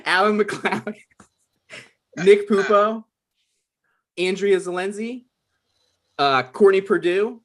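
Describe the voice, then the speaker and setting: A man's voice: high voice, slow pace, long pauses. A man casually introducing a cast as the writer hosting a table read, rambling, self-deprecating and apologetic.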